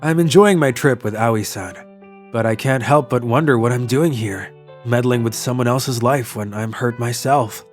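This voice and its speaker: A man playing a kind, lovelorn young traveller in an English manga dub, narrating his story and speaking in an earnest, soft-hearted way. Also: medium pitch, moderate pace, soft background music, lightly acted. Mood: reflective.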